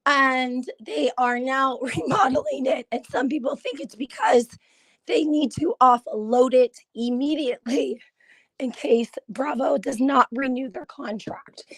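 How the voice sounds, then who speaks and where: A hoarse woman with a high, lively voice hosts a casual live stream. She chats in a confiding way and keeps stopping to clear her throat. The sound is dry and close. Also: measured pace, no room sound, low-quality recording. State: hoarse from laryngitis. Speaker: gossip channel host.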